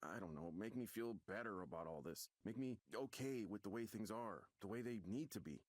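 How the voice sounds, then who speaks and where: An actor playing a troubled young man desperate to stay normal, agitated and halting as he repeats himself in a video game. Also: fairly low pitch, expressive, no room sound, clean studio sound. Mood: resigned, pleading.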